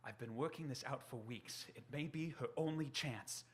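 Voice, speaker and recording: An actor playing an earnest, desperate writer who urgently tries to persuade a friend in a radio drama. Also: male voice, mid-range voice, normal speed, a little hiss or hum, close to an everyday voice. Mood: urgent, persuasive. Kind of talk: stage radio drama acting.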